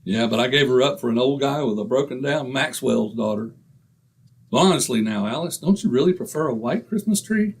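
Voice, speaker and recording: An actor playing a boastful, wisecracking husband in a 1940s-style radio comedy. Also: male voice, medium pitch, lively intonation, brisk, breathy edge. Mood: brash. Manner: brash, wisecracking. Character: boastful, blustering middle-aged husband.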